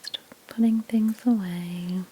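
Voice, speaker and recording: A woman speaking in a soft, breathy near-whisper, gently explaining each step in an ASMR roleplay narration. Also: mid-range voice, steady tone, takes it slowly, slight background noise, rough recording. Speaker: ASMR creator playing a house cleaner.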